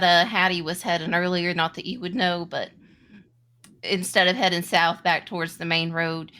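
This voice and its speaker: Slow-paced, bright-voiced woman narrating. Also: lowish voice. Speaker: game master. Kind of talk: improvised tabletop game narration.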